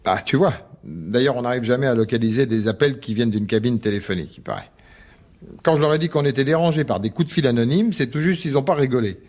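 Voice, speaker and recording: Radio drama casting: man playing an evasive husband, mid-pitched, soothing and colloquial, slightly exasperated. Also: expressive, quick pace, darkish tone, natural voice. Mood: placating, evasive. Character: placating, skeptical husband, evasive and mildly exasperated. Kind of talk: French radio drama acting.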